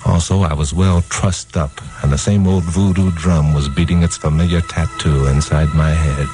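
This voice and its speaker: Deep-voiced wisecracking radio detective. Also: male voice, steady tone, moderate pace, warm tone. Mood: wry narration. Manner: wry, slangy, self-mocking. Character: hardboiled wisecracking radio detective hero, narrating his own case. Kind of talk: 1950s radio crime drama acting and narration. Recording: 1950s radio recording with music.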